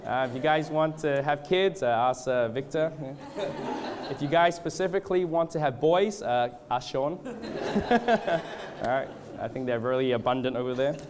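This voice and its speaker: A man from Australia and Canada with a cold, speaking as a preacher in an informal, halting, hesitant way. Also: fairly high pitch, animated, a crowd in the background. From born in Australia, moved to Canada. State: has a cold. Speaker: guest preacher at a church revival gathering. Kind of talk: informal sermon with congregational prayer.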